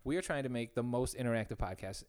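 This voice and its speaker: A man in his thirties from Illinois, with a voice of middling pitch and a slightly nasal tone. He co-hosts a casual podcast in a loose, self-mocking way and laughs often. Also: fast talker, a little mellow. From Illinois, USA. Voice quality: put-on nasal tone. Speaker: podcast co-host. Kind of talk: casual chat.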